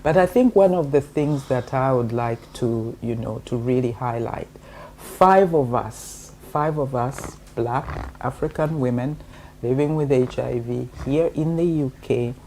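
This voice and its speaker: Woman guest, really low voice, mellow tone, talks slow and careful w lots of um and you know. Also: a little hiss or hum. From Uganda. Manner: measured, explanatory, many fillers. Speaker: guest, co-author. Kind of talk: interview answers.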